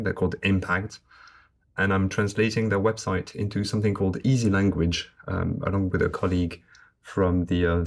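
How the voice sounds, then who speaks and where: Low-voiced man. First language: French. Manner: measured, explanatory. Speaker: guest translator. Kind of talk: conversational podcast interview.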